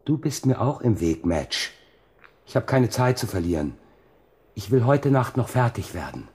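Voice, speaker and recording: An actor in a German radio play voicing an embittered, obsessed hobby builder who mutters resentfully and with determination. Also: male voice, medium pitch, big pitch swings, normal speed, darkish tone, lightly acted. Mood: curt, absorbed. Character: embittered, obsessed model-town builder, a grown married man.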